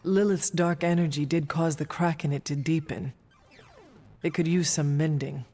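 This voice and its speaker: A woman's voice: low voice, steady tone, moderate pace, warm tone, big-room sound, faint music behind. An actress playing a dutiful, battle-hardened supernatural warrior woman in a video game, speaking in stiff, formal phrases. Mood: subdued. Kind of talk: dramatic video game dialogue.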